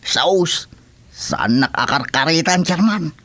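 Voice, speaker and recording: Eager, high-pitched village man played by an actor. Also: expressive, slow pace, a bit bright, exaggerated voice. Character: eager rural village man chatting up his chairman. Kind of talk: comedy radio drama acting in Ilocano.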